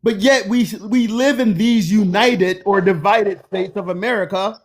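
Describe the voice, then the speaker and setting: High-voiced fifty-something man, earnest and emphatic. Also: unhurried. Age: 50s. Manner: earnest, emphatic, preaching cadence. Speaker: guest on a livestream. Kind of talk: impassioned political livestream talk.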